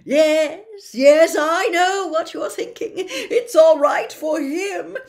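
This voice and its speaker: A woman's voice: very high-pitched, slow pace, pitched above the performer's own voice, heavily acted. A woman voicing a vain, smug male celebrity wizard professor in a storybook reading, gushing and condescending. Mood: smug, boastful.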